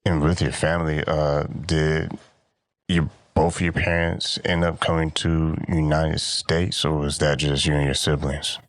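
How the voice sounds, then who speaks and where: A man hosting an interview, asking measured, slightly hesitant questions. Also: rumbling low, flat delivery, no echo. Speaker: interviewer, show host.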